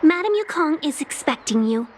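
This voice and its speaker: High, lilting, gracious envoy. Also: female voice, animated, moderate pace, slight background noise. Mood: polite, welcoming. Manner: polite, gracious. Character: polite, lilting young female envoy, gracious and deferential. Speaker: game character. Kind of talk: scripted video game voice acting.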